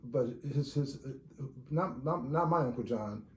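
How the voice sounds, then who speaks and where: A man with a mellow, warm voice talks haltingly about family history, full of pauses and restarts. The room around him sounds echoey. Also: mid-range voice, expressive, a little hiss or hum. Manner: halting, many fillers and restarts while recounting genealogy. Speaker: relative talking about family research. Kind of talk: casual conversation.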